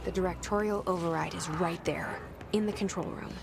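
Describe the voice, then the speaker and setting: A low, gravelly game heroine. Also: female voice, fairly even intonation, a little fast, very noisy. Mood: musing. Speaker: game protagonist narrating her thoughts. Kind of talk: inner monologue voice acting. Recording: game audio over loud music.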